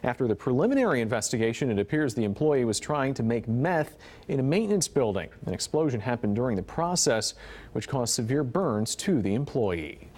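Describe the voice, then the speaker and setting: A man's voice: medium pitch, sing-song, fairly quick, darkish tone, slight background noise. An American man anchoring a newscast, reading stories and bantering casually with a co-anchor. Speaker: news anchor.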